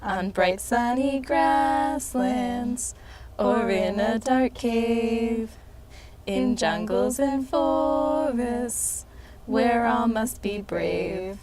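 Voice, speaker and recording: A woman's voice: on the high side, over a noisy crowd. A woman doing a giggly joke-telling puppet. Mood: singing. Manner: giggly, sings a slow rhyming song. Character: cheerful joke-telling puppet named Tuna. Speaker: children's puppet show performer.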